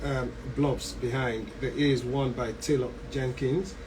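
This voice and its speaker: A man's voice: mid-range voice, unhurried. A man hosting a radio book show, talking casually with frequent ums and repeated words. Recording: distant mic with steady background noise.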